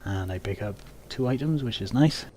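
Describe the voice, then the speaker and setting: A man's voice: medium pitch, expressive, normal speed, darkish tone, slight background noise. A man casually narrating his own video game playthrough, explaining each move in a matter-of-fact way. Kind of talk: casual game commentary, recounting moves.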